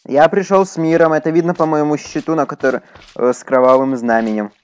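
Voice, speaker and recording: A man's voice: fairly high pitch, fairly even intonation, a little fast, smooth, soft background music. A man doing casual, chatty let's play commentary, talking to his viewers as he plays. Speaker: gaming YouTuber.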